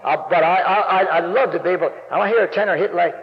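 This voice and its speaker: Gravelly male preacher, folksy and rambling, pushing to loud emphasis; muffled church recording with long echo. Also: racing, heavy background noise. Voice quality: gravelly bass voice. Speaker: guest preacher. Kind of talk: sermon. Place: church sanctuary.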